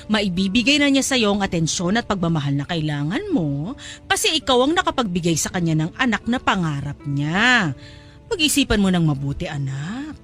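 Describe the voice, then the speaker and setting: A woman's voice: mid-range voice, big pitch swings, normal speed, fairly bright tone, faint music behind. An actress playing a pushy, scheming middle-aged mother in a Tagalog audio drama, coaxing and insistent. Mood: persuasive.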